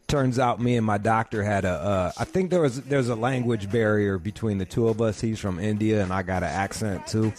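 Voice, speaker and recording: A man's voice: on the low side, a little mellow. A man hosting a podcast from home, rambling through a casual, profane rant about his day. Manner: rambling, swears constantly, ranting. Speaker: podcast host.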